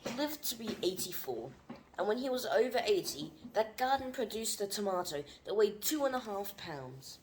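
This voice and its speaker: A woman from Vietnam reads a storybook aloud in a medium-pitched voice, as a mother at bedtime. The recording is homemade, with faint noise and clicks behind her. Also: big pitch swings, normal speed. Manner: corrects and prompts a young reader. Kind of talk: reading aloud from a book. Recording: noisy home recording with clicks.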